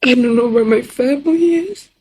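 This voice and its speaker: A young woman with a Persian accent speaks in a very breathy, husky voice pitched somewhat high. She gives a halting, distressed personal testimony to camera, in short simple sentences. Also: dark tone. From Iran. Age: young adult. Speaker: social media video maker.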